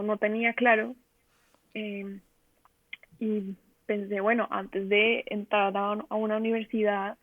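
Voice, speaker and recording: A woman's voice: a little high, no room sound. A young woman with a Colombian accent answering interview questions casually and hesitantly, searching for words. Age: young adult. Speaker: interview guest, design trainee. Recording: narrow telephone-like online call.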